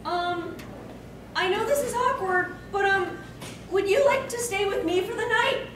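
A woman's voice: extremely high pitch, a little slow, pitched above the performer's own voice. A woman voicing a timid, gloomy, awkward anime youngster who speaks hesitantly in a fan fiction reading. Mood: shy, flustered. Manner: hesitant, anxious. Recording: distant camera in echoey noisy hall.

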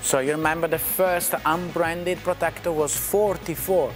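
A man's voice: a little high, fairly even intonation, normal speed, soft background music. A man with an Italian accent explaining safety tests in an indoor test lab, hesitant with many fillers. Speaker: company representative. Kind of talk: explaining on a factory tour.

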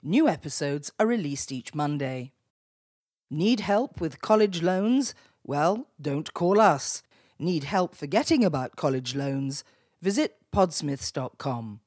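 A woman announcer reading show promos in a lively, upbeat way. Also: low voice, slow pace, dry recording, studio quality.

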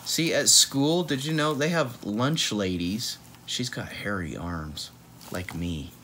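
A man's voice: on the low side, slow, pushed and bright, slight background noise. A man in his thirties chatting playfully through a children's picture book, often wandering off into asides. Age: 30s. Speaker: read-aloud host. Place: small room at home, on a couch.

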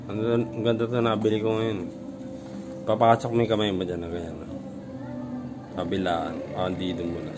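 A man vlogging casually at home about his workout gear, rambling and repeating himself as he talks into a phone camera. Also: low-pitched. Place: home room with gym gear.

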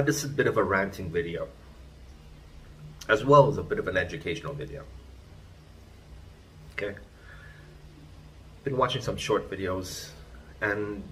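A man's voice: sits low, pushed and bright, a little hiss or hum. A man, hosting his own vlog and giving an emphatic, lecturing rant to a phone camera in a small room indoors. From Trinidad and Tobago. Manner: emphatic, lecturing, repeats points. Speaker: vlogger, channel host. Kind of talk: ranting vlog monologue to camera. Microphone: phone camera at arm's length.

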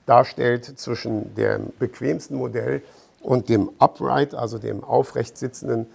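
A middle-aged man explaining fitness equipment to camera in an informal, deliberate way, as an expert presenter. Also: medium pitch, steady tone, creaky, a little mellow, slightly rough. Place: bright gym showroom indoors with large windows.

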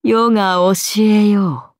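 A woman's voice: medium pitch, fairly even intonation, slow, darkish tone, dry recording, studio quality, natural voice. A woman voicing a haughty, amused and predatory ancient serpent-god oni in a game, speaking in archaic, imperious, languid phrases. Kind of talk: Japanese game character voice lines.

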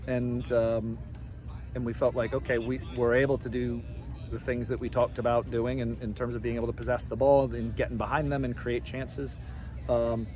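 A middle-aged man, a head soccer coach, answering reporters after a game outdoors at night, measured and repeating words as he thinks. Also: mid-range voice, noisy background. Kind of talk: postgame press interview. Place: outdoors by a stadium track at night.

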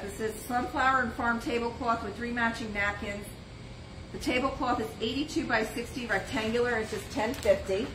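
A middle-aged woman hosting a live antiques sale from a shop booth, chatting casually as she reads out items, sizes and prices. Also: fairly high pitch. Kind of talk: live sales stream, casual item pitching. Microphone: phone or camera on a stand, moving around in frame. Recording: roomy shop sound with background noise.

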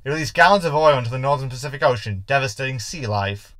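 A man's voice: mid-range voice, animated, fast, a bit bright, no echo, studio quality, close to an everyday voice. A man playing a fussy, anxious, sarcastic hologram crewmate in a sci-fi sitcom audio drama. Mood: pedantic griping. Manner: sarcastic, complaining.

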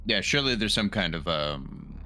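A man's voice: deep voice, lively intonation, moderate pace, pushed and bright. A man playing a tabletop roleplaying game, speaking casually and hesitantly as he searches for words. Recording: faint background noise.

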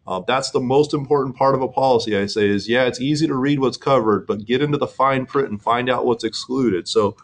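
A man's voice: medium pitch, a little fast, hardly any pauses. Earnest man presenting an insurance webinar. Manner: earnest, explanatory, with frequent fillers and restarts.

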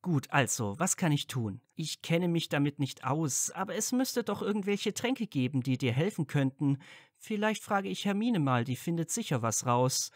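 Guy acting loyal young wizard pal, bit high voice, eager and blunt, audio drama. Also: expressive, moderate pace, dry recording, studio quality. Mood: eager, supportive. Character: earnest, loyal young wizard friend, easily flustered. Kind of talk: fan fiction audio drama dialogue.

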